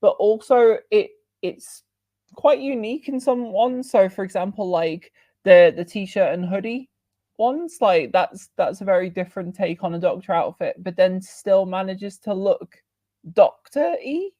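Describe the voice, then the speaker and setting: Unhurried woman podcast host. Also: medium pitch, expressive, dry recording, highs cut off. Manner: rambling, heavy on fillers. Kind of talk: casual podcast chat.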